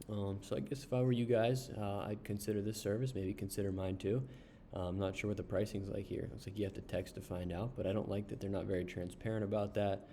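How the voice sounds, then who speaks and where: A man casually reviewing an online service while browsing its pages, unhurried, with frequent ums and uhs. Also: low voice, mellow, a little hiss or hum. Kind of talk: casual screen-share review.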